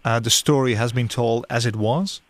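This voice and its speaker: A man whose first language is Dutch interviews a guest in English, his voice mid-pitched and ordinary. He often repeats a word or two before his questions come out. The recording is dry and close. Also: steady tone, normal speed, dry recording. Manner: hesitant, repeats words while forming questions.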